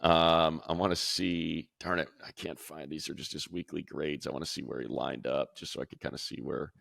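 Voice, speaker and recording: A man's voice: extremely low pitch, steady tone, normal speed, gravelly, fairly bright tone, no echo, studio quality. A man giving casual, opinionated football draft commentary as a radio analyst, talking conversationally and thinking aloud. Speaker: sports radio announcer and analyst.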